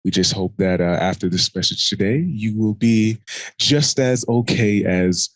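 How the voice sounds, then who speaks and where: A man's voice: on the low side, unhurried, no echo. A man co-hosting a podcast in casual chat, rambling, laughing often and a little self-conscious. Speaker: podcast co-host.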